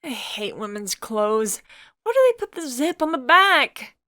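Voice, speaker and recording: A woman's voice: high voice, sing-song, measured pace, no room sound, voice pushed up, exaggerated voice. A woman voicing a shy, self-conscious young adult who is a boy inside, speaking hesitantly and timidly. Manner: hesitant and timid, soft sheepish replies.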